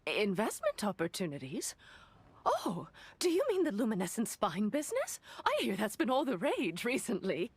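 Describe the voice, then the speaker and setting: A woman playing an eager, chatty young woman in a video game, expressive and polite with a gossipy, admiring manner. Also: mid-range voice, moderate pace, husky, no room sound. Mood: curious, eager. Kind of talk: scripted video game voice acting.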